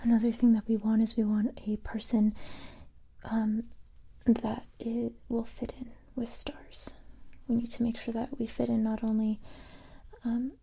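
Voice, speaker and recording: A woman doing a soft-spoken, whispered ASMR roleplay as a calm, encouraging police recruiter, gentle and hesitant, close to the microphone. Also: on the high side, creaky, husky. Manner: gentle, hesitant, unhurried reassurance.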